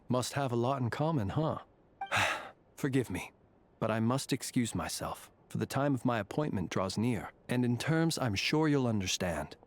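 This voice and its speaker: A man voicing a courteous, eccentric graveyard warden in a video game, speaking in a formal, measured, genteel way. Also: medium pitch, close to an everyday voice. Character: courteous, eccentric gentleman graveyard warden, calm and faintly eerie. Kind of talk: video game character dialogue.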